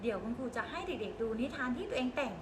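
High-voiced coaxing preschool teacher, woman. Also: sing-song, racing, echoey room, background noise. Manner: patient, coaxing questions to small children. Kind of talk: guiding young children to make up a story. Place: classroom.

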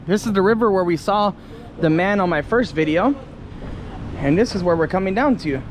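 A man's voice: sits high, expressive, normal speed. A man in his thirties with an American accent, chatting casually and in a friendly, colloquial way as a travel vlogger. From California, United States. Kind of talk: casual chat to camera and with locals. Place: outdoors by a river and in an open motorboat. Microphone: action camera at arm's length. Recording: noisy outdoor recording with engine and ambience.

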